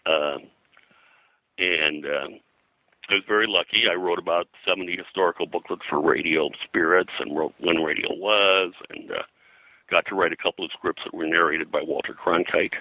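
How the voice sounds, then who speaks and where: Very deep-voiced older American man, bright edge. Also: moderate pace, no room sound, poor audio. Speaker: guest, comics colorist and publisher. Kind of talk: reminiscing interview talk.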